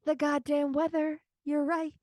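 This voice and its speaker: A woman co-hosting a podcast, joking energetically in casual banter and swinging loud at times. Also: high-pitched, level tone, measured pace, dry recording, studio quality. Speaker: podcast co-host. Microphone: remote call, separate room.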